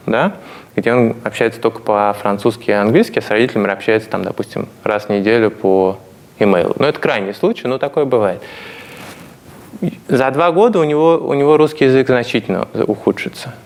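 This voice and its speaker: A man answering questions in a documentary interview, speaking thoughtfully with some restarts. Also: lowish voice.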